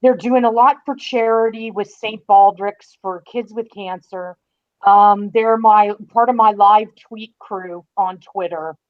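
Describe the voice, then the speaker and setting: A woman's voice: medium pitch, fairly even intonation, a little slow. A woman speaking as a podcast guest, giving news in a casual, chatty way. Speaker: podcast guest, fan-site writer. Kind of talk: casual informative chat. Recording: slightly dull online-call audio.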